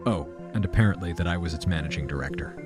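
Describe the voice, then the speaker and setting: A man playing a weary, reflective narrator telling his story in measured audio drama narration. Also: low voice, close to an everyday voice. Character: weary male narrator recounting meeting his double. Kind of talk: scripted first-person audio drama narration. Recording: loud music under the voice. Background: music.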